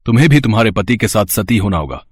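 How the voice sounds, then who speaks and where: An actor playing a stern, scheming royal brother-in-law in a storybook drama, commanding and indignant. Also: male voice, fairly low pitch, expressive, fast, breathy edge, no echo, clean studio sound. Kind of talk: acted devotional storybook drama.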